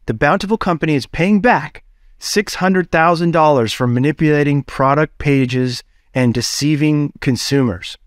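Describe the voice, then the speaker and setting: A man hosts a business podcast in a lively, chatty way, his voice sitting a little high. He jumps quickly between thoughts with casual fillers. The recording is dry and close, with no room echo. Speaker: podcast host.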